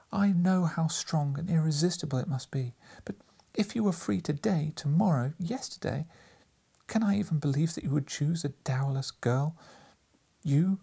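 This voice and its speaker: A man voicing a gentle, sorrowful young woman in a storybook, speaking softly and earnestly. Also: a little high, pitched above the performer's own voice. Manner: soft, earnest, breathy. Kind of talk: dramatic audiobook character reading.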